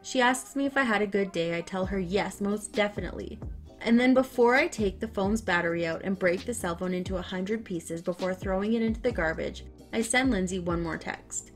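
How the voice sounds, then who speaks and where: A woman reading online stories aloud, then reacting to them with loud, animated outbursts. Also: mid-range voice, a little fast, soft background music. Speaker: story narrator.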